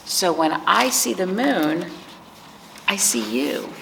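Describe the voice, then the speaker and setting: A loving old grandmother from a storybook, read by a woman, offers tender reassurance. The voice is slow and a little creaky, with faint noise behind it. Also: fairly low pitch, sing-song, full character voice. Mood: reassuring. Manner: tender, unhurried promises.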